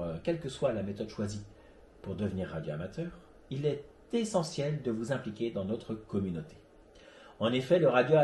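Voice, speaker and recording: A man presents a hobby web show from a prepared script. His voice sits slightly high and swings widely in pitch, with a soft, mellow tone. He speaks earnestly and instructively to his viewers. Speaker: host of an amateur radio web show. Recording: roomy, echoey sound.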